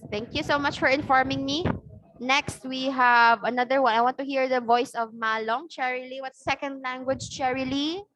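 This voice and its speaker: Filipino teacher, woman, high voice, patient step-by-step lecturing on a video call, keeps asking "okay?". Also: fairly even intonation, normal speed, a bit bright. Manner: explains patiently, often checks with "okay?". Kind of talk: online class lecture.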